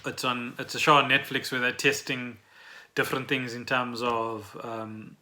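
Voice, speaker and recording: A man with a South African accent and a very bright, forward voice. He talks casually and off the cuff, as a podcast co-host thinking aloud. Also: medium pitch, flat delivery, moderate pace, slight background noise. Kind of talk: casual unscripted podcast chat.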